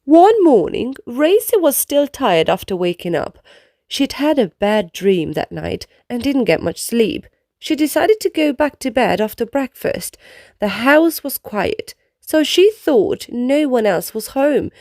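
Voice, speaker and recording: A woman reading a children's picture book aloud in an expressive storytelling style. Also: a little high, unhurried, no room sound, clean studio sound.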